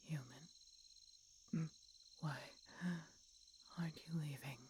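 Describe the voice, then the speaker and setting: A woman voicing a tender, regretful, dying she-dragon in an audio roleplay, breathy and whispery, speaking haltingly with weak, labored breath. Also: low voice, steady tone, noisy background. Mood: weary, puzzled. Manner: slow, halting, labored speech with pauses and gasps. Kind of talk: audio roleplay, intimate dramatic monologue.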